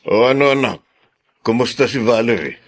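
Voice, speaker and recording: A man's voice: medium pitch, lively intonation, normal speed. A Filipino actor playing a mild middle-aged father, hesitant and placating, in radio drama style. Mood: casual, curious. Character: mild, gentle, peacemaking father.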